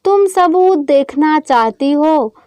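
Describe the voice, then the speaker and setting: Casting: woman reading as callous young college man, cold menace, high voice, slow deliberate threats, audio storybook. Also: expressive, darkish tone, no room sound. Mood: threatening.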